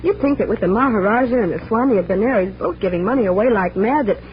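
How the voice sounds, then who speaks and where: A woman's voice: medium pitch, fast, lightly acted. A woman playing a plucky, wisecracking magician's assistant in an old-time radio drama, slangy and exclamatory, on a noisy muffled recording.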